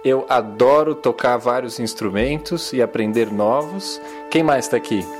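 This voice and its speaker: Guy in his 30s hosting a little radio show, thinks out loud, soft music under it. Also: mid-range voice, measured pace. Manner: thoughtful, searching for words with restarts. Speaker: radio program host. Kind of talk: casual community radio talk. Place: publisher's recording studio. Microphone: handheld recorder.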